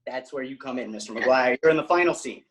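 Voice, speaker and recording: A man's voice: mid-range voice, quick pace, crowd noise, lightly acted. A man reading a bossy teen boy director. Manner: bossy director, hammy mock kung-fu dub. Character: scheming teen boy filmmaker.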